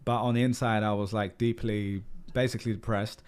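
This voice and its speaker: A man's voice: on the low side, little pitch movement, a little fast, darkish tone, clear, resonant tone. A man in his thirties from London with a London accent, talking candidly as a podcast guest, full of "like" and "um" fillers. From London, England. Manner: candid, rambling, frequent "like" and "um" fillers. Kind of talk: casual interview conversation.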